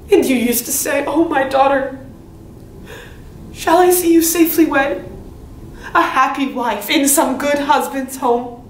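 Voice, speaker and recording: Woman playing beseeching young princess, high voice, earnest classical tragedy, roomy recording, faint background noise. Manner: earnest, beseeching, theatrical delivery. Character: pleading young princess begging her father for life. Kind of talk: classical Greek tragedy monologue.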